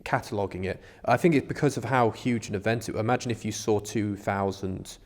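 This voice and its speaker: A man's voice: lowish voice, brisk. A man co-hosting a podcast, talking through ideas in a reflective, explanatory, conversational way. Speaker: podcast co-host. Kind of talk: conversational discussion. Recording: reverberant room with long echo.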